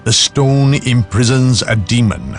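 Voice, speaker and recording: An actor playing a severe, haughty inquisitor in a video game, with a gravelly voice and imperious, theatrical delivery. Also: male voice, mid-range voice, a little slow, soft background music, close to an everyday voice. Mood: grave explaining. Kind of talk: dramatic video game voice acting.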